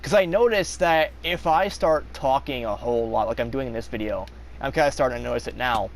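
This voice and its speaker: A young man vlogging in a casual, confessional monologue, rambling with many fillers and restarts, his voice slightly raspy. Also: medium pitch, a little fast, slight background noise. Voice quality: slightly raspy when talking long.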